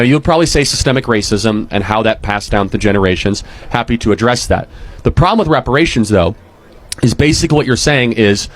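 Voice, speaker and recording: A man in a live debate, pressing his opponent with argumentative questions. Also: mid-range voice. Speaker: debater at a campus table.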